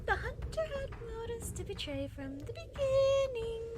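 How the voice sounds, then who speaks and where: A spiteful little creature minion shrieks in an extremely high, wildly varied voice over noisy backing. It fawns and goads, made for fantasy audio drama. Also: female voice, big pitch swings, a little slow, exaggerated voice. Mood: accusing.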